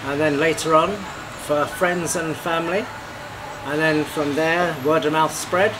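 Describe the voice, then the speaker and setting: An older man from Jamaica, a shop owner answering interview questions calmly and briefly inside his takeaway. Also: fairly high pitch, unhurried, noisy background. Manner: calm, brief, agreeable replies. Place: small takeaway patty shop, indoors.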